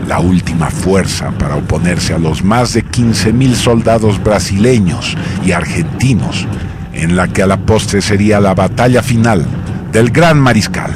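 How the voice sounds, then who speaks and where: A man narrating a scripted radio drama in a solemn, grandiose manner with measured phrasing. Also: deep voice, animated, very noisy. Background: music.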